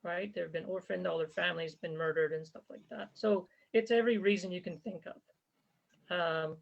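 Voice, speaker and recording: A woman's voice: lowish voice, fairly even intonation, normal speed, gravelly. A woman raised in Canada and born in Zambia, speaking earnestly and conversationally as an interview guest, with frequent fillers. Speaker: interview guest, former volunteer fighter. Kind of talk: casual spoken interview. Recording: dull lo-fi recording.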